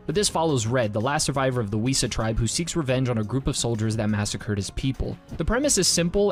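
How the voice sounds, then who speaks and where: Wry man narrating over background music. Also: mid-range voice. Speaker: manga review video creator. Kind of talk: scripted review narration.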